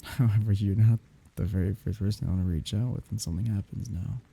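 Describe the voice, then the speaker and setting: A man performs a mourning male lover in an intimate ASMR roleplay, saying goodbye at a graveside. The voice is very dark and soft, heavily breathy and husky, with a creaky edge. He speaks haltingly and tenderly, often sinking toward a whisper. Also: fairly low pitch, steady tone, exaggerated voice. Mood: wistful. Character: grieving man saying farewell at his dead lover's grave.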